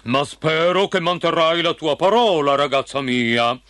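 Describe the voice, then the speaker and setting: A man voicing a stern older king and father in a storybook tale, booming slowly with authority over music. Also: expressive, a bit bright, no room sound, studio quality. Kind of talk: storybook character acting.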